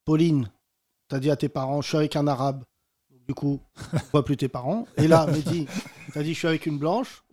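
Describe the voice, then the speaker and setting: High-voiced teasing host. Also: male voice, fairly even intonation, mellow. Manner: teasing, provocative, quick jokes. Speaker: podcast host. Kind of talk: joking banter with guests.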